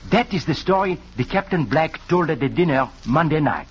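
An actor playing a shrewd, courtly Belgian detective with a French-sounding accent, in emphatic 1940s radio style. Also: male voice, fairly high pitch, expressive, normal speed, breathy edge. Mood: excited. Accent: French-speaking foreign accent, put on. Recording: muffled, noisy 1940s radio recording.

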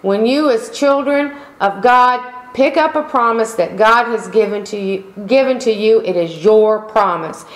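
A middle-aged woman pastor delivering a sermon in slow, emphatic preaching style, calling out for amens. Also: on the high side. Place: church sanctuary. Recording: echoey room.

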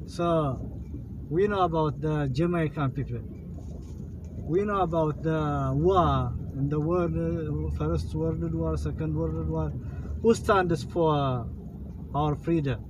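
Ethiopian man talking in a car. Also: on the high side. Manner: earnest, halting with many fillers. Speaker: rideshare driver. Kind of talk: casual conversation. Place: inside a moving car at night. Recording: road noise from a car.

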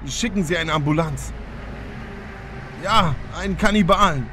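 Urgent, high-pitched male patrol cop played by a male actor in an audio drama, agitated and commanding, noisy street around him. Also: a little slow, lightly acted. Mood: appalled, urgent.

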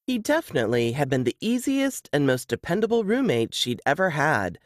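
Woman reading fiction with lively intonation. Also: sits low, no echo, clean studio sound. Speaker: narrator reading a novel excerpt. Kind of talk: expressive audiobook-style narration.

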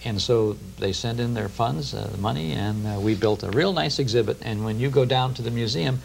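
Gravelly middle-aged American man, reminiscing. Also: mid-range voice, brisk, low-quality recording. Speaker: guest, radio show host. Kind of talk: conversational interview, reminiscing. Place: TV studio at a round table. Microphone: lapel. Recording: faint hum and hiss, old TV tape.

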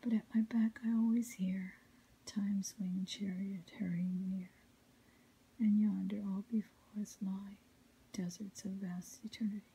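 A woman softly reading poetry in a breathy whisper, ASMR style, with a measured, melodic recitation. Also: mid-range voice, flat delivery, poor audio. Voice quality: soft unvoiced whisper, breathy.

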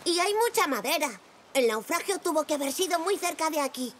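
A performer voicing a curious, imaginative five-year-old boy zebra in an animated children's series, eager and childlike. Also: high voice, brisk. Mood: curious, excited. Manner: eager, wide-eyed, childlike.

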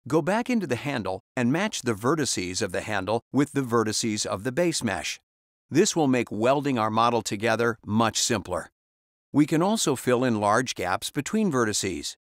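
Male voice-over for a 3D software tutorial, mid-pitched, calm and instructional, dry close recording. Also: dry recording.

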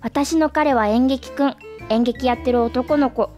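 An actress playing a struggling actor's gentle, wry and affectionate girlfriend in a radio drama, casual and soothing. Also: sits high, unhurried, soft background music. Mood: wry, fond. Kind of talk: radio drama dialogue and monologue.

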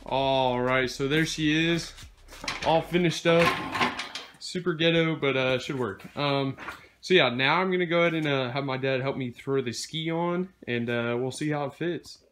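A man's voice: mid-range voice, moderate pace, background noise. A young man casually narrating a DIY build in his home garage, talking with many ums and uhs while he films on a handheld phone. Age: young adult. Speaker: DIY vlogger.

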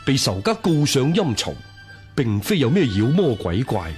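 An actor playing an imperious Taoist exorcist priest in a radio drama, with a solemn, incantatory and commanding delivery. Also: male voice, mid-range voice, sing-song, moderate pace, a little mellow, soft background music, natural voice. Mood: grave. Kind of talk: Cantonese radio drama acting.

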